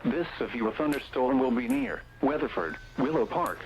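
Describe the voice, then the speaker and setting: A synthetic male text-to-speech voice reading scripted emergency weather warnings in a measured, impersonal, item-by-item way over weather radio. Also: mid-range voice, expressive, poor audio. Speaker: automated weather radio alert reader.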